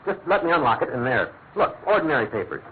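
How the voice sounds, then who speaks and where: Actor as excitable, quick-talking English statesman. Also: mid-range voice, close to an everyday voice. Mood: irritated. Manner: proper, fussy, exclamatory. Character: excitable, worried English government minister and husband. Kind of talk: classic radio drama acting. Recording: thin phone-like radio sound, clear noise.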